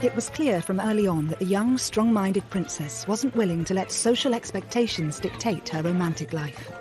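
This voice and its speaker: A woman delivers scripted documentary narration as the narrator. Her voice sits at a medium pitch, with music playing clearly behind her. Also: normal speed. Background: background music.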